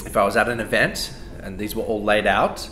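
Australian CEO type, low-pitched, easygoing tasting chat, roomy studio with music under it. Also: male voice, fairly quick, fairly bright tone. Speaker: company CEO tasting food. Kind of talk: casual on-camera reactions. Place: indoor studio set at a table.

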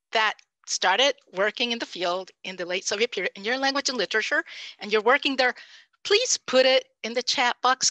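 A Puerto Rican woman in her fifties, a native Spanish speaker, giving an animated, emphatic panel talk over a headset microphone on a video call. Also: mid-range voice, dry recording. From Puerto Rico. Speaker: panelist, language professor. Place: home room, indoors. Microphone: headset mic.

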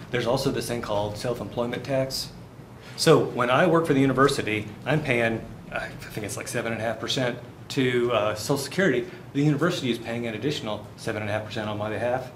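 A man's voice: medium pitch, fast, a bit bright. Man in his fifties lecturing a class. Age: 50s. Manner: conversational, asks the class questions and answers them. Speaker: finance lecturer. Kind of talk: live university lecture. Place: university classroom with whiteboards. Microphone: far camera, no visible mic. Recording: roomy classroom, faint background noise.

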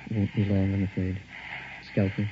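A man's voice: low-pitched, completely flat delivery, normal speed, lightly acted. Resigned doctor played by a man, clipped and grave, muffled, noisy vintage radio. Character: resigned, matter-of-fact male surgeon. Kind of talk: 1960s radio drama acting.

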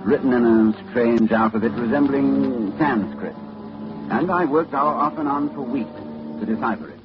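An actor playing a haunted, horrified male scholar in 1940s radio style, with a dramatic, halting delivery. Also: medium pitch, highs cut off, close to an everyday voice. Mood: grave, haunted. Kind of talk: 1940s radio horror acting. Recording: scratchy 1940s radio recording with music.

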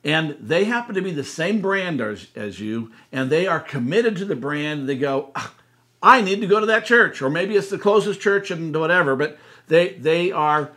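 American guy in his 60s, bit higher voice, chatty co-host explaining stuff, stresses points by repeating himself. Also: animated, moderate pace, pushed and bright. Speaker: church consultant and co-host. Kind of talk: conversational explanatory talk to camera with a co-host. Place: indoor room, seated beside co-host.

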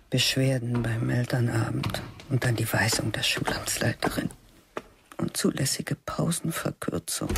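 A woman's voice: low-pitched, slight background noise. A woman in her fifties playing a stern, aging biology teacher who narrates in a cool, clipped, detached way in a radio play. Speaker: narrator and lead character.

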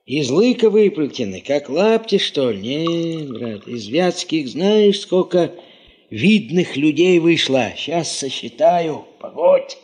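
An actor playing a garrulous, sentimental village neighbour with a folksy, rambling manner in a 1970s radio play. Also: male voice, on the high side, sing-song, slow pace, darkish tone. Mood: hearty, boastful.

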